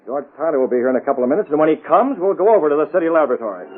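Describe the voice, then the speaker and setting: A composed, commanding male publisher in a 1940s radio play. His speech is formal and quick with animated pitch, slightly husky through a phone-like old recording. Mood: confidential, firm. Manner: authoritative, formal.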